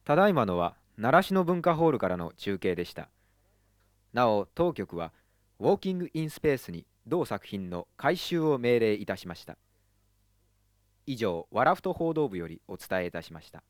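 A man's voice: mid-range voice, very animated, normal speed, no echo, studio quality, lightly acted. A man playing a formal, solemn announcer for news bulletins and commercials in an amateur radio drama.

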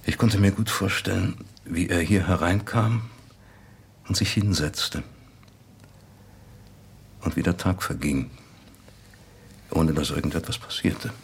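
A thoughtful man remembering stuff, super low raspy voice, radio play monologue, understated. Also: slow pace, pauses often, exaggerated voice. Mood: reflective. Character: reflective man quietly recalling an acquaintance. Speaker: narrator. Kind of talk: reflective first-person monologue in a radio drama.

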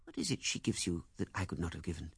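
A man voicing a heartbroken young woman who pleads in a soft, half-whispered voice. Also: deep voice, sing-song, quick pace, vocal fry, husky, no room sound, studio quality, voice pushed down, full character voice. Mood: pleading.

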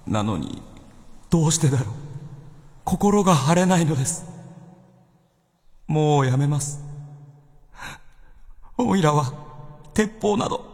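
A man playing a humble, anguished young gunman in a Japanese radio drama, speaking haltingly and with emotion. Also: on the high side, expressive, a little mellow. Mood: weary, anguished. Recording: long reverb with music behind.

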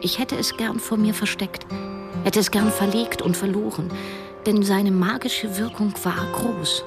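A woman's voice: medium pitch, steady tone, unhurried, music in the background, close to an everyday voice. An actress playing a wry, stubborn cleaning woman who confides her story in a German radio drama. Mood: confiding, brooding. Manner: confiding, hesitant in dialogue. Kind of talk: German radio drama first-person narration.

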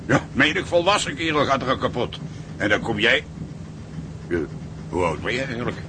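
A man plays a fatherly ship's cook in a radio drama. He talks in a folksy, soothing manner with a pitch that swings freely, over clear rumbling noise. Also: big pitch swings, moderate pace, close to an everyday voice. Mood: comforting. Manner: folksy, reassuring, chuckling. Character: kindly, fatherly old-hand ship's cook. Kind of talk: Dutch radio drama acting.

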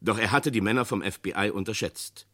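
A man's voice: fairly low pitch, fairly bright tone. Grave male radio-play narrator. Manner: grave, measured. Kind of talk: scripted narration.

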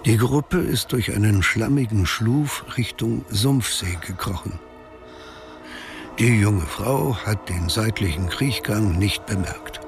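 A man's voice: lowish voice, slow, music in the background. A man narrating a radio drama in a grave, detached, documentary-like manner. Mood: ominous.

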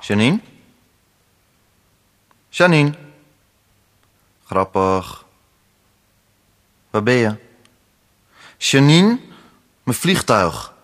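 An impatient, snappy boyfriend, lively pitch. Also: male voice, very slow, pauses often, exaggerated voice. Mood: anxious, pleading. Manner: snappy, exasperated. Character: impatient, irritable boyfriend rushing to pack for a trip. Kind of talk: radio drama acting.

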